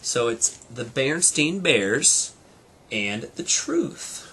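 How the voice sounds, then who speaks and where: A man's voice: mid-range voice, very animated, slow, sharp tone, breathy edge. A man, a teacher, reading a children's storybook aloud to students with casual little asides.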